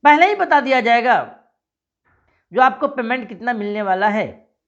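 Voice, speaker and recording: A man promoting a job scheme, pitching straight to viewers and answering their imagined questions with repetition. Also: expressive, unhurried, fairly bright tone, muffled recording.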